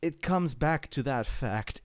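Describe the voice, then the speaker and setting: A man's voice: medium pitch, expressive, takes it slowly, clean tone. A man chatting casually as a podcast host, emphatic and full of swearing.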